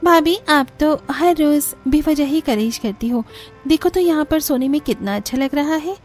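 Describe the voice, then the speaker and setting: A woman's voice: high-pitched, normal speed, darkish tone, soft background music, voice pushed up, close to an everyday voice. A woman voicing a carefree, whiny young sister-in-law in a cartoon. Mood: smug. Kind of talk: cartoon voice acting.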